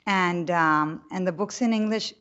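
A woman's voice: fairly low pitch, a little slow, muffled recording, a little hiss or hum. A woman from Colombia, a novelist talking animatedly on a panel before an audience, often restarting her sentences. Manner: animated, talkative, frequent restarts. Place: room with a live audience.

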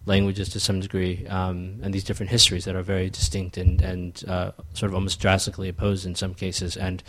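A young man from Ethiopia, a writer, answering questions reflectively with many pauses, fillers and restarts. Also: low-pitched, monotone. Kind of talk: thoughtful answers in a public Q&A.

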